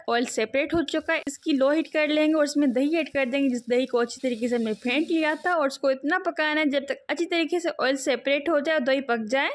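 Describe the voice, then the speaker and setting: A woman host giving a steady, step-by-step voice-over for a cooking video. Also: high voice. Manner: steady instructive, repeats cooking steps. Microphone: voice-over, not seen speaking.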